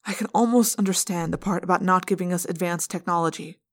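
A woman's voice: on the low side, fast talker. A woman voicing a fair-minded young male leader in a storybook, reasoning and questioning plainly. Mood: measured challenge.

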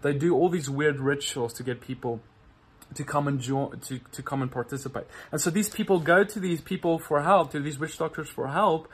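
Male minister, earnest direct-to-camera talk, halting repeats. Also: fairly high pitch, husky. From South Africa. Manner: earnest, repeats words often when searching for them. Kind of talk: casual video talk to viewers.

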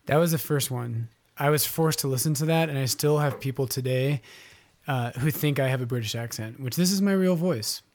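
A man's voice: medium pitch, a little fast, a little mellow. Priest guy on a podcast, chill storytelling, mid voice. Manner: good-humored, storytelling. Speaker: guest priest. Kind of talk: casual chat.

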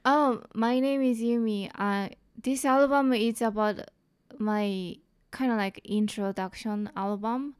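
A young adult woman from Japan with a thick Japanese accent, a comedian speaking English as a second language, talking casually with halting phrasing and many fillers. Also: a little high, steady tone, smooth. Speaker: comedian guest. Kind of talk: casual interview chat.

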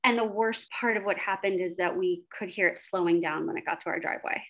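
A woman's voice: lowish voice, fast talker, a bit bright, low-quality recording. A woman in her forties from South Jersey, a podcast guest telling a childhood story in a rambling, chatty way, full of fillers and the odd swear. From South Jersey, USA. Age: 40s. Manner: rambling, chatty, many fillers like "like" and "you know"; self-deprecating, casually profane. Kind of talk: casual storytelling chat.

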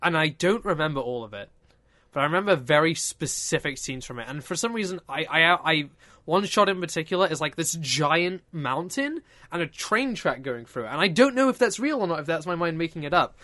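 Rambling man, bright slightly high voice. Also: lively intonation, fairly quick. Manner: rambling, self-interrupting, joking. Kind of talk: casual joking chat.

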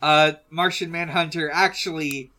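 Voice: mid-range voice, steady tone, unhurried, bright, forceful tone. A podcast host chatting casually and reading out listener questions, hesitant and often trailing off mid-thought.

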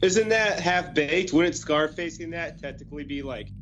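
A man with a stuffy, nasal voice from a recent cold, chatting in a deadpan, matter-of-fact way. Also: a little high, normal speed. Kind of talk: casual chat.

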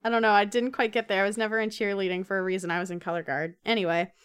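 A woman's voice: medium pitch, flat delivery, very fast, clear, resonant tone. A woman co-hosting a podcast, chatting casually through a comic book recap with playful, jokey asides. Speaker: podcast co-host.

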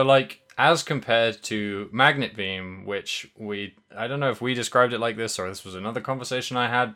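A man's voice: on the low side, sharp tone. A young man co-hosting a podcast, chatting casually and rambling, correcting himself and swearing now and then. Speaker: podcast co-host. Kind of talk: casual podcast chat about a video game.

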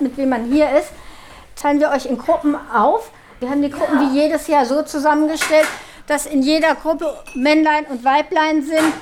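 A woman explaining a procedure to a group as workshop organiser, recorded with some noise. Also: high voice. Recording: clear background noise and clicks.

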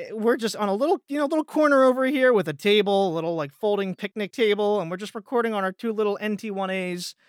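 A man talks casually as a guest voice actor on a podcast. His delivery rambles and halts, with repeated words, and the sound is dry with no room echo. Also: sits high. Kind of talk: casual chat.

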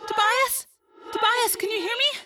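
A woman voicing a brave young girl who is trapped, hushed, urgent and grimly resigned, in a story. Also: very high voice, moderate pace, no room sound, clean studio sound, far from the mic, soft background music, pitched above the performer's own voice. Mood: urgent, pleading.

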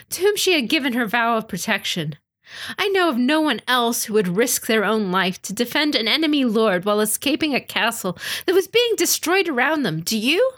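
A woman's voice: on the high side, fairly bright tone, pitched above the performer's own voice. A woman voicing a passionate young female samurai general in an audiobook, defiant and formal in her delivery. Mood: fervent challenge. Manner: impassioned, declamatory, formal. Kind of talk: dramatic audiobook reading.

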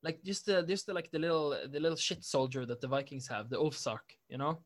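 A man's voice: a little high, fairly even intonation, fairly quick. A man in casual conversation, rambling with lots of fillers and restarts, and laughing easily. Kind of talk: casual chat.

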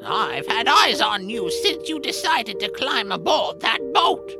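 A woman voicing a sly, haughty old crone witch in an audio drama, with a drawn-out, theatrical delivery. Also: a little high, big pitch swings, music in the background. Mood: sly, scornful. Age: older.

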